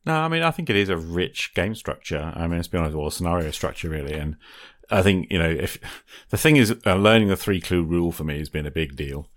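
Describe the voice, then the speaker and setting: A man's voice: low voice, lively intonation, fast talker. A man hosting a casual interview, talking conversationally and checking in with his guest as he goes. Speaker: podcast host, interviewer.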